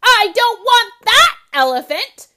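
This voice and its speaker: A woman's voice: high-pitched, lively intonation, slow pace, a bit bright, exaggerated voice. Woman putting on Ellie, a spoiled, bossy little girl. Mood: demanding. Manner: whiny and bossy.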